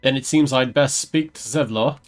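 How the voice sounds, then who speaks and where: A man voicing a troubled adventurer in a video game, speaking formally in a measured, earnest way. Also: medium pitch, faint music behind, lightly acted. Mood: grave. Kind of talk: dramatic read of game dialogue.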